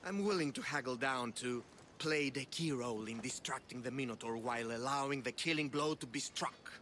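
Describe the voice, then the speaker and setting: An actor playing a boastful would-be monster hunter in a video game, wordy and grandiose. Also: male voice, medium pitch, moderate pace, bright, forceful tone, a little hiss or hum, natural voice. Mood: boastful, eager. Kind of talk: video game character acting.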